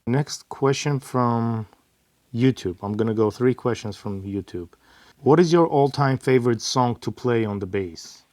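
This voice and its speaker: A middle-aged man hosting a casual Q&A, reading viewer questions aloud in a plain, easygoing way with frequent pauses. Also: medium pitch, a little mellow, no echo, clean studio sound. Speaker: host, father of the bassist.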